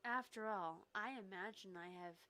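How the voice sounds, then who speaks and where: A woman voicing a polite, reflective amnesia patient in a visual novel, reading in a formal, measured way. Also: medium pitch, sharp tone, natural voice.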